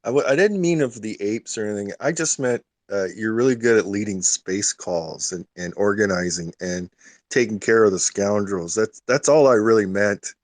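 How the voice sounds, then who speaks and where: A man's voice: fairly low pitch, moderate pace, dry recording. A man speaking casually on a group call, earnestly explaining himself. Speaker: call participant. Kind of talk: casual chat in a live group audio call.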